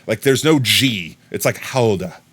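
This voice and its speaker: Lively, chatty American man streaming. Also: lowish voice, a little slow. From United States. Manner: rambling and chatty, shouting excited thanks to viewers. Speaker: livestreamer. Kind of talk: casual live-stream chat.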